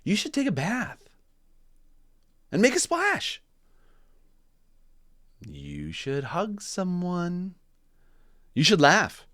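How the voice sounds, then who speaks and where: A man's voice: high voice, huge pitch swings, drawn-out words, pauses often, a bit bright, no echo, clean studio sound, voice pushed up, full character voice. A man voicing pushy, cheerful storybook animals, exuberant and singsong as they insist. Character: crowd of pushy, cheerful animals giving advice.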